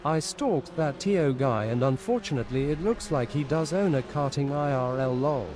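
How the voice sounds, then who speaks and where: A male voice that reads out chat messages and usernames in a flat, even way. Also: mid-range voice, moderate pace.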